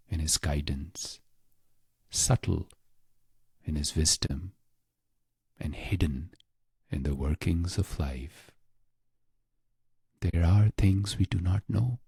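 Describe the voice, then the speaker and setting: A man narrating a scripted guided meditation in a hushed, breathy voice, speaking in short phrases with long pauses. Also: no echo. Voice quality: low, breathy, husky voice with creak.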